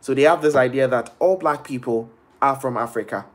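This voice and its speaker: Animated young man on a webcam live stream. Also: mid-range voice, fairly quick. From Ghana. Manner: animated, gestures a lot, quotes people in shifting voices. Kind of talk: casual live stream monologue. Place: small bedroom indoors. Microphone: laptop or phone webcam at arm's length.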